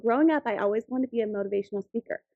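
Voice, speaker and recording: A woman's voice: on the high side, rapid-fire, no echo, phone-quality sound. A woman in her thirties, co-hosting a casual podcast, chatty and giggly, full of "like". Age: 30s. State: new mother of a ten-week-old. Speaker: podcast co-host. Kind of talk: casual chat.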